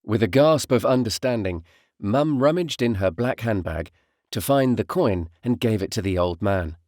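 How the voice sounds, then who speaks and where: Man, audiobook narrator. Reflective storytelling, animated pitch movement, close dry recording. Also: moderate pace, no echo. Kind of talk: literary first-person audiobook narration.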